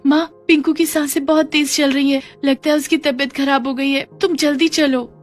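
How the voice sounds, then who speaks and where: An anxious little girl as a woman narrator acts her out in a cartoon moral story. The voice is raised high and childlike, earnest and caring. Soft music runs underneath. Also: fairly even intonation, normal speed, close to an everyday voice. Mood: worried, urgent.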